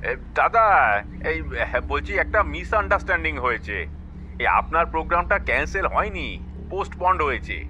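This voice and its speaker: A man's voice: fairly high pitch, sing-song, moderate pace, bright, forceful tone, heavily acted. A man acting an apologetic, deferential programme organiser in an audio drama, placating someone over a phone line. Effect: phone call. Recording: thin phone line, street noise.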